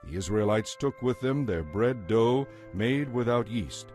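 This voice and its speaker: A man narrating scripture in a solemn, measured and authoritative way, as part of a dramatized reading. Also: medium pitch, expressive, a little mellow. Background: background music.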